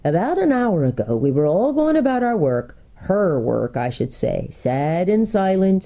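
A tiny, whimpering storybook gnome, acted out by a woman. The creature is excitable and deferential, pitched high above everyday talk, with very lively swings in tone. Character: miserable little gnome, squealing, cringing, eager male creature. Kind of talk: acted audiobook character.

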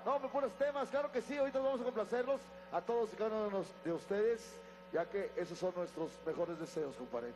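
A middle-aged man, emceeing a live concert. He talks to the crowd on a stage mic and lets out shouted calls and cheers. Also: high voice, a little hiss or hum, poor audio. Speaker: band singer and MC. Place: large concert stage before a big crowd. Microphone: stage vocal mic. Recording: live concert sound with loud band. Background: loud live band music.

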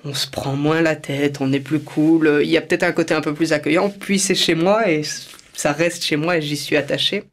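A man's voice: fairly high pitch, fairly even intonation, normal speed. A man in his twenties from Belgium talking informally to camera about himself. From Brussels, Belgium. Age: 20s. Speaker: actor presenting himself. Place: indoor studio.

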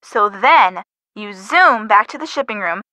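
A woman's voice: medium pitch, expressive, measured pace, bright, forceful tone, no room sound, rough recording. A young woman playing a friendly helper in a detective video game, casual and coaxing. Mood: helpful, casual. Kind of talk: video game hint dialogue.

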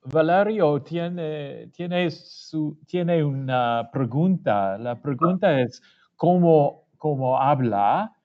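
Very slow, hesitant male Spanish learner. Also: on the high side, expressive. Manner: hesitant, searching for words. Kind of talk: halting learner Spanish in a class. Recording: muffled web meeting audio.

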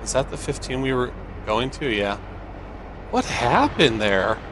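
A man's voice: mid-range voice, sing-song, unhurried, background noise. A man giving casual gameplay commentary, thinking aloud and asking himself questions as he plays. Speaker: gamer playing and commenting on a video game.

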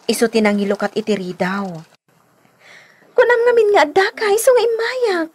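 A woman's voice: extremely high pitch, sing-song, moderate pace, no room sound. A woman with a Filipino accent acting in a radio drama as a chatty, nosy friend who drops by to sell things. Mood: gossipy. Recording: clear background noise.

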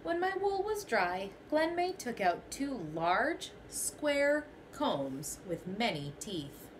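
Expressive young woman reading a picture book. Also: fairly high pitch, slow, a little hiss or hum. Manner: expressive storytelling, first person as the goat. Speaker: teacher. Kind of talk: children's picture book read-aloud. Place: small bedroom, indoors.

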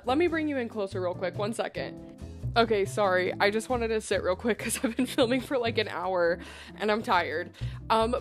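Bubbly young woman vlogging a thrift haul. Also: fairly high pitch. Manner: bubbly, chatty, lots of "like" fillers. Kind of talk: casual try-on haul chat. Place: bright indoor room with shelf backdrop. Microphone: handheld recorder. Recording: background music under voice. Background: background music.

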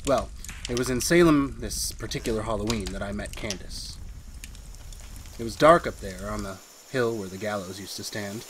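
A man's voice: lowish voice, a bit bright, natural voice. Man acting an uneasy, brooding radio drama husband. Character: haunted husband confessing a murder plan, uneasy academic. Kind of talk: retro radio drama acting, first-person narration. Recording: faint background noise.

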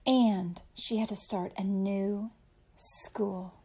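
A woman's voice: mid-range voice, steady tone, slow, dark tone, slightly rough. A woman reading a picture book aloud expressively for young children, explaining things simply like a teacher.

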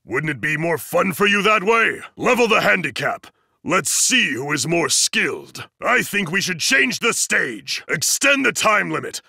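A towering, zealous male disciplinarian from an anime fighting game. The voice is hard-edged and very intense, with a raspy grain and big swings in pitch. He delivers everything as a bombastic, formal proclamation, stern and emphatic. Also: sing-song, unhurried, pushed and bright, dry recording, studio quality, exaggerated voice. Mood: courteous, composed. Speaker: video game voice actor.

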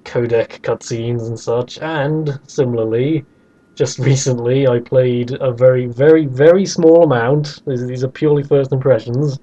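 A man hosting a podcast, chatting casually and with opinions about video games, in an animated way. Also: medium pitch, moderate pace, lo-fi sound. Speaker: podcast host.

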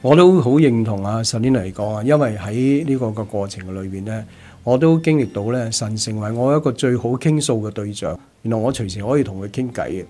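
A man's voice: lowish voice, lively intonation, brisk, dark tone. A middle-aged man with a Hong Kong Cantonese accent, hosting a radio interview at a studio microphone. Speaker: radio show host. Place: small padded radio studio. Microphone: studio desk microphone. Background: music.

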